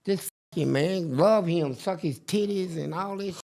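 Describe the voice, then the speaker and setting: A man's voice: high voice, moderate pace, vocal fry. An older man, a guest in a casual interview, telling crude, boastful stories and acting out what others said. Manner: crude, boastful, animated storytelling with acted-out quotes.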